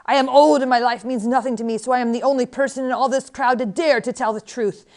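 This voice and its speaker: A woman voicing an ancient, withered old woman who yells defiant, scornful accusations in a storybook reading. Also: high voice, steady tone, voice pushed up. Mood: furious, scornful. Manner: yelling, emphatic, drawn-out denunciation.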